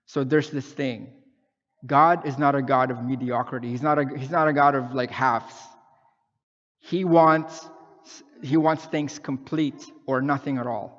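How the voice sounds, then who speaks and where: A man's voice: medium pitch, steady tone, measured pace, a bit bright, dull audio. Filipino-raised man preaching in a reverberant hall. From Philippines. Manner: conversational preaching, frequent "okay?" and "right?" check-ins. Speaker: pastor. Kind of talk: sermon.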